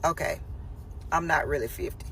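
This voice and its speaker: A woman's voice: low voice, normal speed, vocal fry, a bit bright, a little hiss or hum. A woman in her late forties chatting animatedly in a casual selfie video. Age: 40s.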